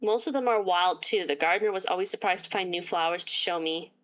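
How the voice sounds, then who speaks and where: A woman's voice: on the low side, fast talker, a bit bright, faint music behind. Woman reading a game aloud, voice going. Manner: reads game text aloud and breaks off with sarcastic asides. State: losing her voice. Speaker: gaming YouTuber. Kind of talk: reading a visual novel aloud with commentary.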